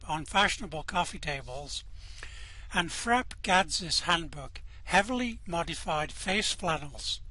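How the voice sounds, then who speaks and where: A man's voice: a little high, sharp tone, no room sound, rough recording. A man narrating a comic science-fiction story in a dry, wry storyteller's way. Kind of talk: amateur audiobook narration.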